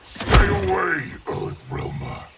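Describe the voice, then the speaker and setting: An actor playing a proud, boastful, hostile warlord general in a fantasy video game. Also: male voice, takes it slowly, heavy background noise, lightly acted. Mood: bitter, strained. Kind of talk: cinematic video game voice acting. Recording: loud dramatic music over the voice.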